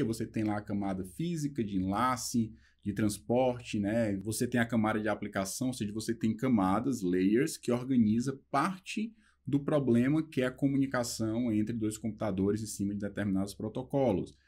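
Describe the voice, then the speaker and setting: Young Brazilian male tech instructor, relaxed and chatty, mid-pitched. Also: slightly rough. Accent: Brazilian Portuguese. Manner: talks loosely with fillers, slows down reading English passages. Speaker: programming instructor. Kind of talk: casual explanatory lesson, reading and translating a book.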